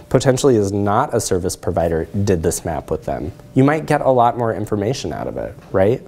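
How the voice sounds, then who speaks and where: A man in his thirties giving a lecture and discussion, measured, often posing rhetorical questions to his listeners. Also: medium pitch, warm tone. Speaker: presenter, social work researcher. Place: lecture room, at the front by a screen.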